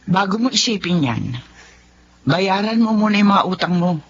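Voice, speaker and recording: A woman's voice: mid-range voice, animated, moderate pace. Woman acting a worried Filipino mother, muffled recording. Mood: firm, disapproving. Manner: earnest, reproachful. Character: worried, firm middle-aged wife and mother. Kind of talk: Filipino radio melodrama acting. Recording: dull lo-fi audio, clear background noise.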